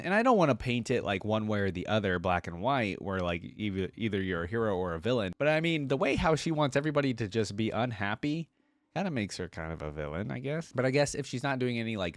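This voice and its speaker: Guy with lowish voice doing story reaction stuff, reads then chats casually, dry sound. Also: no echo. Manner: informal, speculates aloud while reacting. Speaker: reaction video host and reader. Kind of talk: reading a story aloud with casual commentary.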